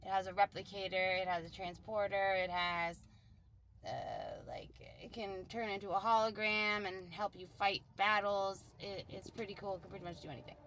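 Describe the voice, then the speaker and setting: A woman's voice: mid-range voice, level tone, moderate pace, fairly bright tone, a little hiss or hum. A woman talking casually and informally, telling an improvised story in a rambling way with plenty of filler "like".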